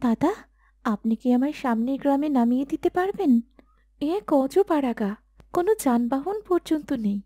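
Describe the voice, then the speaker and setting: A woman acts a mysterious young spirit woman in a horror cartoon. Her voice is high and soft, with a polite, pleading manner. The sound is close and dry. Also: expressive, normal speed, no echo. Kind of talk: Bengali horror cartoon dialogue.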